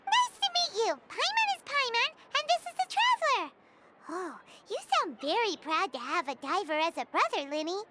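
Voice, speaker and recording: A woman's voice: extremely high pitch, very animated, moderate pace, heavy background noise. A woman voicing a small, bubbly, childlike fairy companion in an anime video game, curious and talkative. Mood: friendly, perky. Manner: refers to self in third person, exclaiming. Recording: music playing loudly behind the voice.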